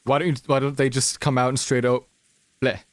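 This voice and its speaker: A smooth, cocky businessman, read by a man in a livedub talks breezily. The pitch is mid-range and the mic is dry and close. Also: flat delivery, a little fast, no room sound, natural voice. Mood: breezy. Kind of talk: fan livedub of a visual novel.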